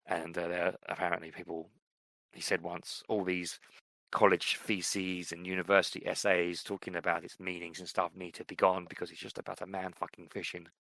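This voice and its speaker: Male podcast co-host, deep voice, dry close mic, thoughtful and meandering. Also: level tone, moderate pace, vocal fry, bright, forceful tone, dry recording. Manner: thoughtful, rambling with fillers and restarts. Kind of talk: casual chat about books and fiction.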